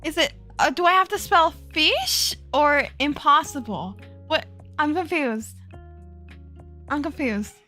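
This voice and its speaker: Giggly high-voiced young woman streamer. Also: animated, slow pace, pushed and bright, faint music behind. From Florida. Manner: giggly, bubbly, self-deprecating, easily distracted. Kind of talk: casual live-stream chat with viewers.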